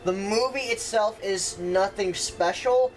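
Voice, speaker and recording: A man's voice: high-pitched, slow, soft background music, poor audio. A young man hosting a casual movie commentary, sarcastic and argumentative, swearing freely.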